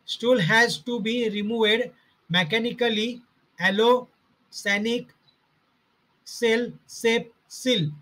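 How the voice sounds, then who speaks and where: A man with a high, bright voice reads through medical study notes. He goes slowly and halting, breaking each list into short fragments with pauses between them. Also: steady tone, poor audio. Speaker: homeopathy study narrator. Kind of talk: slow reading of study notes.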